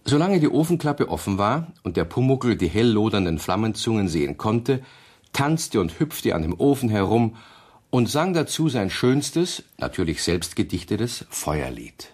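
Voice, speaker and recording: Deep, expressive male storyteller. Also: moderate pace, breathy edge. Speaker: narrator. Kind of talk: children's storybook narration in a radio play.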